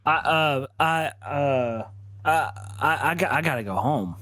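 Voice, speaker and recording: A man's voice: on the high side, animated, a little slow, bright, forceful tone. A man role-playing in a tabletop game, hesitant and flustered. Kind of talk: in-character roleplay.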